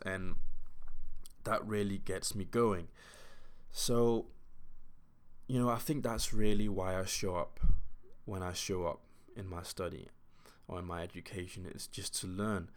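A man in his twenties hosting a solo podcast, talking in an earnest, reflective way with many fillers and restarts. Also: fairly low pitch. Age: 20s. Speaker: podcast host.

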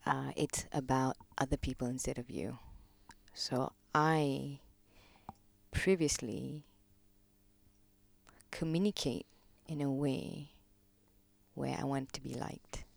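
A woman speaks low and unhurried, her voice carrying a gravelly fry. She reflects on her life as a podcast guest with soft, hesitant pauses. The sound is close and dry. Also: fairly even intonation, no echo. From Malaysia. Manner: slow, hesitant, many pauses and ums, soft-spoken. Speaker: podcast guest, gong practitioner. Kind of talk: reflective personal interview talk.

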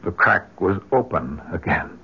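A low-voiced middle-aged American husband and father, grieving and strained, in a vintage radio drama. His halting delivery swings widely in pitch, and the old recording is muffled. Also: sing-song, gravelly, bright, forceful tone, breathy edge, exaggerated voice. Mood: baffled, weary.

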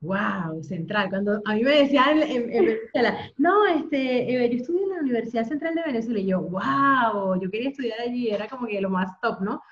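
A woman's voice: on the high side, animated, a little fast, hardly any pauses. A woman hosting an interview, asking friendly, enthusiastic questions. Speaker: host. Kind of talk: conversational interview. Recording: dull lo-fi video call audio.

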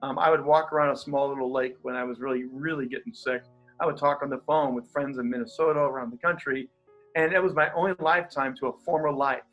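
A man's voice: mid-range voice, quick pace, faint music behind, low-quality recording. A man who is a pastor and coach, speaking as an interview guest with energy and easy laughter, who has a stutter. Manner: energetic, animated, laughs easily. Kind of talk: interview guest, casual talk.

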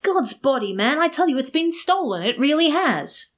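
Storybook part: gullible, miserly man robbed and distraught, high wailing protests performed by a female reader. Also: big pitch swings, fairly quick. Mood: frantic, insistent.

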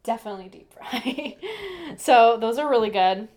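A young woman co-hosts a relaxed podcast chat. She rambles along in a friendly way, padding her sentences with "like" and "um.". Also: on the high side, moderate pace. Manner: chatty, rambling, full of "like" and "um". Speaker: podcast co-host. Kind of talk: casual chat.

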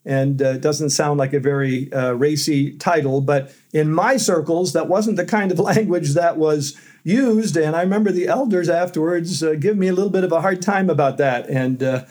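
A middle-aged man, a seminary professor, telling stories as a podcast guest in a loud voice with fillers. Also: fairly high pitch, normal speed. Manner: loud voice, storytelling with fillers and light laughs. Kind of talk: conversational interview answers.

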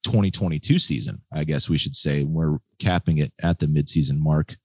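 An American man hosting a podcast in casual, slangy chat, with a husky voice and a laid-back manner. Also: a bass voice, moderate pace, dry recording, studio quality. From United States. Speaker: podcast host. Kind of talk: casual chat about motor racing.

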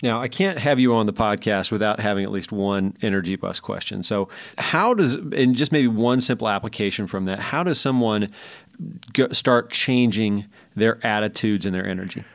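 A man's voice: lowish voice, moderate pace, no echo. A man hosting a podcast, asking questions in a friendly, conversational way. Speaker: podcast host. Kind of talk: podcast interview.

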